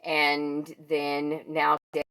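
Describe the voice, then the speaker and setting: A woman's voice: sits low, completely flat delivery, slow pace, a bit bright, clean tone. A woman book vlogger chatting casually and thinking aloud as she goes. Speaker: book vlogger presenting a monthly reading wrap-up. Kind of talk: casual chat to camera.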